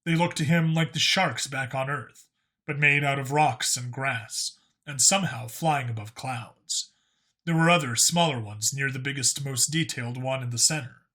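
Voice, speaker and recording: A man's voice: medium pitch, moderate pace, bright, forceful tone. A man narrating a scripted science fiction story as an audiobook reader.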